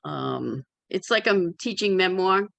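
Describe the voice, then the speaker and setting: A woman's voice: on the low side, animated, measured pace, vocal fry, fairly bright tone, no echo. A woman chatting casually in a group as an author, with a candid, wry way of talking. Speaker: memoir author in a community discussion.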